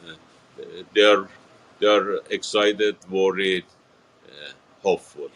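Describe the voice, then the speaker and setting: A man, answering a TV reporter's questions in a halting, earnest way with many fillers and restarts. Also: deep voice, a bit bright, a little hiss or hum, poor audio. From Iran. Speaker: interviewee. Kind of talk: TV news interview.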